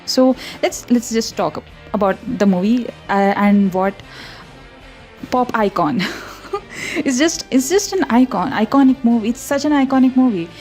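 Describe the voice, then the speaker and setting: A young woman from India speaks English as a second language, rambling happily in a casual voiceover with frequent little laughs and restarts. Her tone is mellow and warm rather than bright. Light music plays faintly under her voice. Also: fairly high pitch, lively intonation, a little slow. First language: not English. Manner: rambling, chatty, frequent giggles and self-corrections. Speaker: art channel host. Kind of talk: casual voiceover over a speedpaint.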